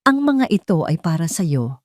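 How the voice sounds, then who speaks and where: A woman voicing a gentle, protective man who speaks earnestly and reassuringly in an audio story. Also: on the low side, very animated, measured pace, no room sound, studio quality.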